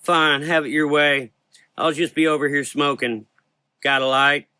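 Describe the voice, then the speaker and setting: Casting: male performer as sassy, sardonic Southern US woman, pitched up and bright, for gothic horror audio drama. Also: fairly even intonation, measured pace, clean tone, no room sound, clean studio sound. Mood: sardonic, impatient. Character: Rose, tall brash sardonic Southern belle.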